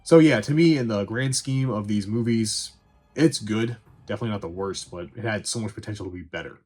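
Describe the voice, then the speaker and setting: A man with a fairly low voice talks through a casual movie review. His delivery is wry, opinionated and conversational, with a sarcastic edge. Light music plays underneath. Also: fairly quick. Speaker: film reviewer and host.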